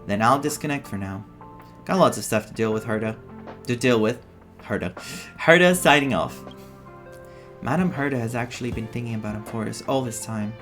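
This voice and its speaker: A man streaming a story game, reading dialogue aloud and adding his own expressive reactions. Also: mid-range voice, a little fast. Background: game music.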